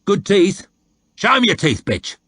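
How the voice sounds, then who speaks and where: A man voicing a coarse, bullying soldier who haggles loudly over a price. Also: sing-song, measured pace, husky, no room sound, clean studio sound, full character voice. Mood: haggling. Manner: loud, high and bullying. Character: coarse loud male slave-driving soldier, crude and haggling.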